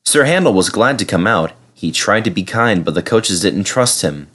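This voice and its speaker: A man reading a children's story aloud as an expressive narrator. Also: lowish voice, moderate pace. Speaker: storybook reader.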